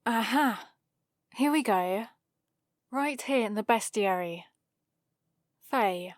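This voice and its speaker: A woman's voice: on the high side, expressive, slow, dry recording. A woman playing a haughty, sarcastic centuries-old vampire librarian in a scripted ASMR roleplay, with a dry, theatrical delivery. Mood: smug triumph.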